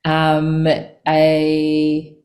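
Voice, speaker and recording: A woman in her forties from Samoa and New Zealand, talking in a relaxed, thoughtful way with frequent pauses as an interview guest. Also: low-pitched. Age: 40s. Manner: relaxed, thoughtful, many ums. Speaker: chocolate maker guest. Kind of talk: casual interview talk.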